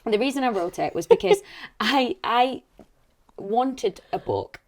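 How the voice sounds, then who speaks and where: A woman's voice: on the high side, expressive, a little slow, darkish tone, dry recording. A British woman, an actor and coach, giving earnest, encouraging advice in a conversational interview.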